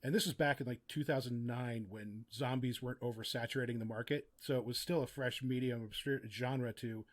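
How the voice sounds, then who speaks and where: A man's voice: mid-range voice, steady tone, fairly quick, hardly any pauses. A middle-aged man speaking as a podcast guest, explaining his craft in a casual, reflective and articulate way. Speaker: podcast guest, audio drama writer and producer. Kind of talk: casual, reflective interview talk.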